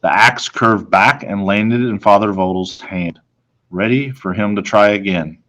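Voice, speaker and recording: Low-pitched man reading a children's story. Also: unhurried, a bit bright, poor audio. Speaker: story reader, teacher. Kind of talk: expressive read-aloud of a children's novel.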